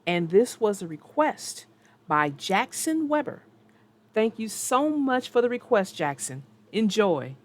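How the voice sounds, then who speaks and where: A woman narrating a children's read-aloud as storyteller, expressive and deliberate. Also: medium pitch.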